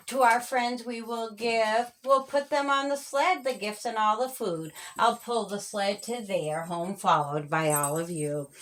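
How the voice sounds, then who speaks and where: A woman reads as a kindly storybook mouse father organizing his family. The speech is very slow and measured, instructive and rhyming, in a fairly high voice.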